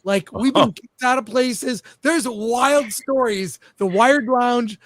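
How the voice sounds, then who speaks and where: High pitched guy hosting a casual panel chat, eager storyteller, close dry sound. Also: takes it slowly, a bit bright, dry recording. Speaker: talk show host.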